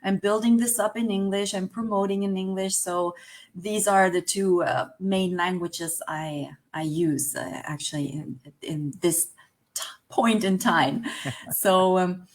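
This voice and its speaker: A woman's voice: fairly low pitch, steady tone, measured pace. A Spanish woman in her forties, speaking English with a Spanish accent as an interview guest, hesitant and searching for words with many fillers. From Spain. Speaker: guest, online business manager. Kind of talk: casual interview chat.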